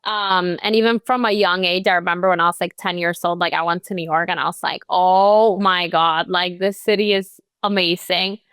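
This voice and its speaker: Chatty young woman, smooth dry voice. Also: lowish voice, steady tone, moderate pace, few pauses, fairly bright tone, dry recording. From Colombia. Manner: chatty, many "like" and "you know" fillers. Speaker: guest, real estate agent. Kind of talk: casual interview chat.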